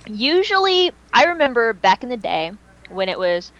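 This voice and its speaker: A woman's voice: fairly high pitch, very animated, normal speed, a bit bright, lo-fi sound. A woman hosting a podcast, chatting casually in an informal, slangy way. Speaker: podcast host.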